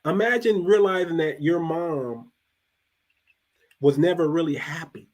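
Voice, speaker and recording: A man hosting a casual talk show, speaking loosely, repeating and restarting words, and dropping his voice low for emphasis. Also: measured pace. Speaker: podcast host.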